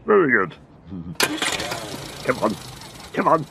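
A man's voice: medium pitch, big pitch swings, slow pace, creaky, background noise, heavily acted. A man voicing a bumbling, childish grown man in a cartoon, talking in mumbles and grunts. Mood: grumbling. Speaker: lead cartoon character. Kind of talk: cartoon voice acting. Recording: music score behind.

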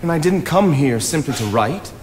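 Man acting an earnest, high-voiced 19th-century idealist. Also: expressive, a little mellow, close to an everyday voice. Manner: declamatory, deliberate. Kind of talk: theatrical stage acting. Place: theatre stage, indoors. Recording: live theatre, reverberant, faint noise.

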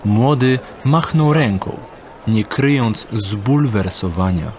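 A man's voice: on the low side, expressive, takes it slowly, darkish tone, slight background noise. A man reading scripted narration for an audio drama.

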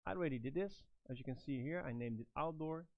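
A man's voice: fairly high pitch, fast, no room sound. Man giving a demo, slightly dull recording. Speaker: product trainer and presenter. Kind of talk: step-by-step product demonstration.

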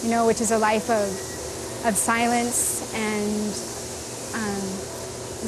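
Hesitant middle-aged nun, lapel mic. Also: female voice, fairly high pitch, noisy background. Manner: soft, hesitant, with many ums. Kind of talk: interview. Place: small office indoors.

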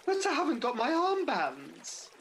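A woman's voice: sits high, wildly swooping pitch, a little slow, slight background noise, full character voice. A woman playing a nervous, grumbling older pantomime dame in a radio play, exclaiming melodramatically.

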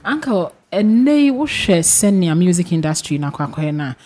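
A woman's voice: on the low side, big pitch swings, normal speed, mellow. A young woman with a Ghanaian accent, interviewing conversationally as a radio host in a studio. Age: young adult. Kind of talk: conversational interviewing in mixed languages. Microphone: boom-arm studio microphone, close. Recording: faint background noise.

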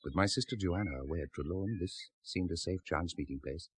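An actor playing a bold, unworried medieval seafarer in a radio drama, speaking in assured archaic phrases. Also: male voice, low voice, a little fast, breathy edge, dry recording. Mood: assured, intimate.

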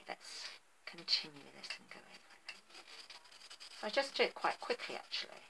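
A middle-aged woman with a low, gravelly voice chats her way through a painting demonstration, pausing on ums as she works. She is recorded on a phone over a work table, with clear background noise and clicks of tools. Her voice sits slightly off from the mic. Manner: chatty, thinking aloud while working, many ums. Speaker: artist demonstrating garden ornament painting. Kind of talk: casual craft tutorial.

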